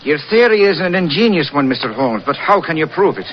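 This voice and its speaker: An actor playing a smug, challenging murder suspect in a 1940s radio drama. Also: male voice, on the high side, sing-song, a little fast. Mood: defiant. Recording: noisy, muffled 1940s radio recording.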